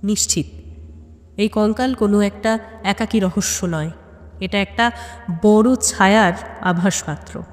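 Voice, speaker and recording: A woman's voice: mid-range voice, close to an everyday voice. Woman doing a determined lady detective, grave n fearless, audio story, big echoey reverb. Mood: resolute. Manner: slow, resolute, grave. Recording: long hall-like reverb on the voice.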